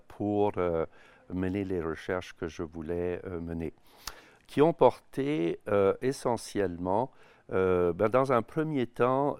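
Low-voiced professor, hesitant. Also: male voice, a little mellow, clear, resonant tone, no room sound. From Canada. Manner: hesitant, many "euh" fillers, measured. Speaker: history and ethnology professor. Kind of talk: interview, career account.

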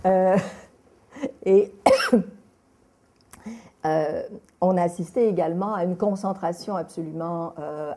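A middle-aged woman, a documentary filmmaker answering an interview, pausing often on filler hesitations. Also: lowish voice, vocal fry, mellow. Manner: halting, many filler hesitations.

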